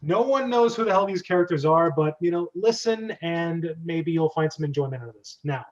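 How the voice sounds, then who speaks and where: A man's voice: on the high side, lively intonation, fairly quick. A man hosting a script reading, chatting casually and jokily as he explains and directs. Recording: dull, lo-fi sound.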